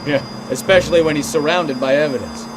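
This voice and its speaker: An actor playing a cynical, plain-spoken American police detective in a video game, matter-of-fact and jaded. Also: male voice, on the high side, a little fast, natural voice. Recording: noisy car and street ambience.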